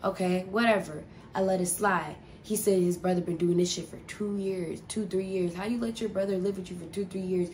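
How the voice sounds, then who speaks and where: Young woman venting a storytime, lowish flat voice, says like a lot, bit of hiss behind. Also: a little fast, hardly any pauses. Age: young adult. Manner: rambling, profane, lots of "like" fillers. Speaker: vlogger. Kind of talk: casual storytime vlog.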